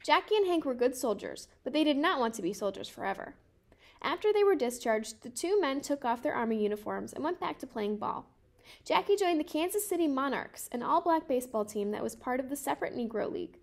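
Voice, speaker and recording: High-pitched young woman reading aloud. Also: animated, fairly quick. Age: 20s. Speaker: storybook reader. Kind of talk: read-aloud of a children's picture book.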